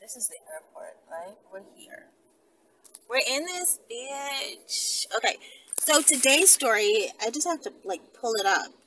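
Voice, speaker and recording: A woman's voice: fairly high pitch, sing-song, a little slow, sharp tone, slight background noise, rough recording. A woman talking casually to her viewers as she tells and reads stories, brash and teasing, swearing freely and tossing in asides. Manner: brash, profane, teasing, with frequent tag questions and asides. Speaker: vlogger telling and reading stories to viewers. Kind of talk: casual direct-to-viewer chat and storytime.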